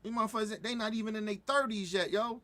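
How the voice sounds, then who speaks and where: Middle-aged man, fired-up reaction host, high-pitched voice, stumbling restarts. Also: fairly even intonation, quick pace, pushed and bright. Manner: heated, repetitive, stumbling restarts. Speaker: commentator reacting to a video. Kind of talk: profane casual reaction commentary.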